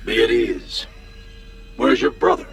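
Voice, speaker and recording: An actor playing a cold, commanding alien leader in a radio drama, giving orders in a deliberate, authoritative manner. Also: male voice, mid-range voice, wildly swooping pitch, creaky, full character voice. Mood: stern command. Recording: music behind the voice.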